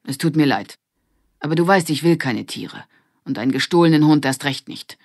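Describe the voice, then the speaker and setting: A woman's voice: low voice, moderate pace, a little mellow, dry recording, studio quality. A woman voicing a stern, irritated father in a children's audiobook, firm and scolding. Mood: stern, reproachful.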